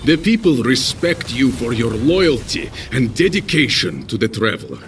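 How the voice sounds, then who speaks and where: Casting: male actor for earnest warrior peacemaker, oratorical, expressive swoops, faint noise behind, audio drama. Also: medium pitch, close to an everyday voice. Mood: earnest, pleading. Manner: measured, solemn, oratorical.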